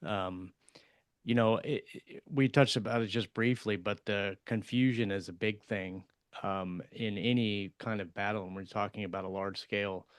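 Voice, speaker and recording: Low guy on a podcast chatting about craft, repeats himself a bit, really dry close sound. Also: steady tone, normal speed, smooth, no echo. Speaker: podcast co-host. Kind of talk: casual discussion of writing craft.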